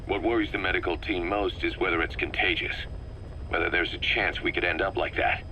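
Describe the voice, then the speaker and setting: A man playing an analytical, wary military second-in-command in a video game, explaining things in a measured, methodical way. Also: low voice, steady tone, sharp tone, a little hiss or hum, rough recording. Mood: grave, concerned. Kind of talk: scripted video game cutscene dialogue.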